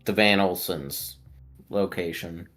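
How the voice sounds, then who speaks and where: A man's voice: sits low, takes it slowly, fairly bright tone, soft background music. A man chatting casually as a player in a tabletop role-playing game. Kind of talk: casual chat.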